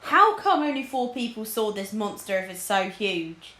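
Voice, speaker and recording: A woman's voice: mid-range voice, sing-song, moderate pace, pushed and bright. Woman voicing blunt, suspicious soldier, echoey. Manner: blunt, questioning. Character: suspicious, doubting male soldiers of royal guard.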